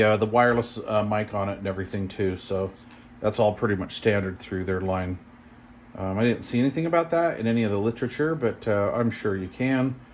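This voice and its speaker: A man's voice: lowish voice, fairly bright tone. Impressed man, noisy home-video sound. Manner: impressed, mild exclamations, thinking aloud. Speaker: product reviewer. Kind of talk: casual unboxing commentary. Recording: clear background noise and room ambience.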